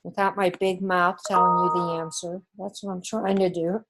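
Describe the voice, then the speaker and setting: A woman's voice: lowish voice, little pitch movement, noisy background. A woman teacher with a New Zealand accent, working through the scoring of a test step by step in an online class. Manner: slow, methodical, talks through scoring step by step.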